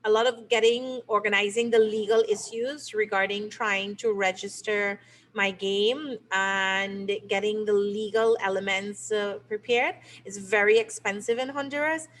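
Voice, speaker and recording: A woman's voice: mid-range voice, fairly even intonation, measured pace, fairly bright tone, a little hiss or hum. A middle-aged woman from Trinidad and Tobago, a teacher and game creator, explaining her work in an interview. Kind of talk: interview answers.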